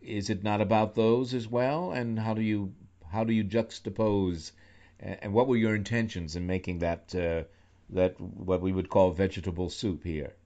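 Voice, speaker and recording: A man's voice: fairly low pitch, fairly even intonation, moderate pace, warm tone. A man hosting a talk radio show, asking long, rambling, thoughtful questions with many restarts. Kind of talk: radio interview, conversational questions. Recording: slightly dull lo-fi radio sound.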